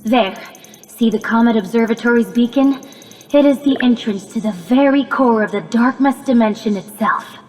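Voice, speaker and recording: A woman playing a calm, analytical robot AI companion in a video game, speaking in formal, precise, measured phrases with earnest encouragement. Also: on the high side, big-room sound, a little hiss or hum, lightly acted. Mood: grave, informative. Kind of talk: scripted video game cutscene acting.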